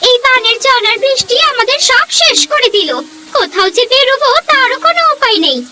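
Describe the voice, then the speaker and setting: A woman voicing an anxious, homely village wife in a cartoon fairy tale, in an expressive, plaintive way. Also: very high voice, a little fast, heavy background noise, pitched above the performer's own voice. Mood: worried.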